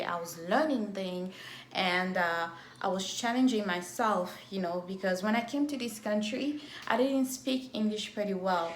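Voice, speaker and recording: A woman in her thirties from Cameroon whose first language is French. She speaks English with a strong Cameroonian French accent, slowly and deliberately, in a casual vlog recorded on her phone. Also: medium pitch. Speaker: event planner and entrepreneur. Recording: echoey room on a phone.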